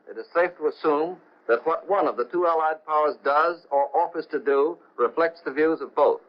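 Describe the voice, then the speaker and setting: A man with a slightly high voice delivers a formal, measured 1940s news report. He speaks as an overseas correspondent, pausing now and then to find his words. The sound is muffled, low-fidelity old broadcast audio. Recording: dull, lo-fi 1940 shortwave radio broadcast.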